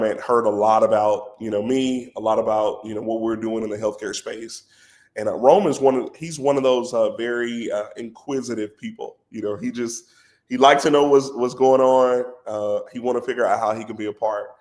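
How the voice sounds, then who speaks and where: A man with a head cold and a congested voice, a company president talking as a podcast guest in an animated, persuasive way. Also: lowish voice. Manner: animated and persuasive, says "bro" a lot. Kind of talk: casual podcast conversation.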